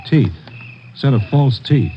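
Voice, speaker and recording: Practical working cop, mid-pitched, businesslike, in a noisy old radio drama. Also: male voice, lively intonation, takes it slowly, highs cut off. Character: matter-of-fact male police digger at work. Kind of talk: 1940s radio drama acting.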